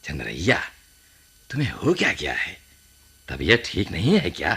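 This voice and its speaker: Chiding village priest, raspy yet forceful, swooping pitch, pious, radio play. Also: male voice, huge pitch swings, moderate pace, exaggerated voice. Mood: concerned, chiding. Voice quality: husky, rough. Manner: exclamatory, pious interjections. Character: fussy, devout village priest, worried and chiding. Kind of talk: Hindi radio drama acting.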